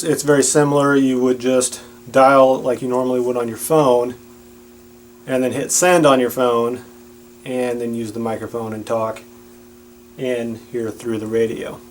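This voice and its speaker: A man's voice: mid-range voice, a little hiss or hum, low-quality recording. A man giving a casual, plain-spoken and explanatory product demonstration.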